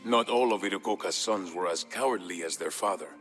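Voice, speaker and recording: A man reading a scripted historical tale as a storyteller, in a measured, solemn manner. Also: deep voice, music in the background. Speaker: narrator of a game campaign storyline. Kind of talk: scripted dramatic storytelling narration.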